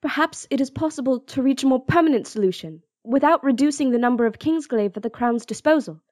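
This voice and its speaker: A woman's voice: sits high, animated, fairly quick, a little mellow, no echo, studio quality. A woman playing an earnest, thoughtful young princess in an audio drama, polite and articulate. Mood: politely hesitant. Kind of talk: audio drama acting and first-person narration.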